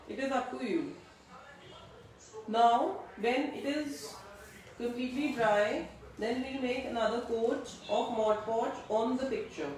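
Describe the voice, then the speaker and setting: A woman giving a live online craft class, explaining steps slowly and checking that attendees can hear her. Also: fairly high pitch, a little mellow. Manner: slow instructional, checks audibility with attendees. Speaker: craft teacher. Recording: distant echoey room mic.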